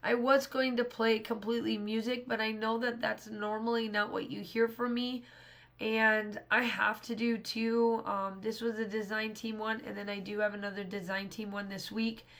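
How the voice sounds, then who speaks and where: Woman, scratchy hoarse voice, casual rambling narration, fairly high pitch. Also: level tone, moderate pace, a bit bright. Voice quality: rambling, restarts often. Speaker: scrapbooking channel host. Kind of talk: casual voice-over narration of a craft process.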